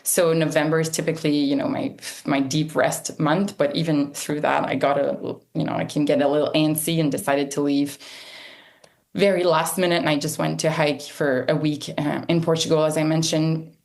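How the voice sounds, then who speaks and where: A woman's voice: low voice, level tone, normal speed, vocal fry, a little mellow. A woman in her thirties from Canada whose first language is French, speaking reflectively as an interview guest. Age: 30s. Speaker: guest, outdoor travel guide. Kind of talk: conversational interview answers.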